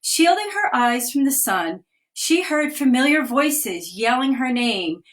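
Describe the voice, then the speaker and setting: A woman, a children's author, reading a picture book aloud on a live video stream, with gentle read-aloud pacing and pauses to point out the pictures. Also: high voice, big pitch swings. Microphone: phone or webcam on a live video stream.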